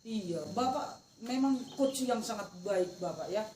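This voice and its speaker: A woman's voice: medium pitch, animated, measured pace. A woman with a North Sumatran Indonesian accent gives informal feedback as an observer. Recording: distant echoey recording, insect noise.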